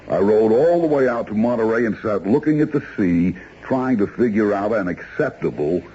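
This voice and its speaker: Haughty low-voiced lawyer, swooping delivery. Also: male voice, dark tone. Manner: curt, defensive, precise. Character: indignant, haughty male attorney and secret lover. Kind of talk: 1970s radio drama acting. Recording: dull, noisy old radio recording.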